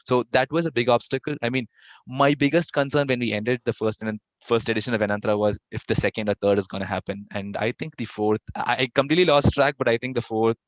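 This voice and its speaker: A man's voice: mid-range voice, fairly even intonation, fast talker, no echo. A young man answering interview questions casually, with a stutter and frequent restarts. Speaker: interviewee, fest founder. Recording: dull lo-fi dry audio.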